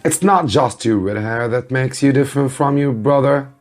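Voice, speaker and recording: A man's voice: medium pitch, moderate pace, faint music behind, voice pushed up, close to an everyday voice. A man voicing an eager, approving sister-in-law in a storybook reading, gushing and emphatic. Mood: earnest, persuading. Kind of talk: audiobook-style storybook reading.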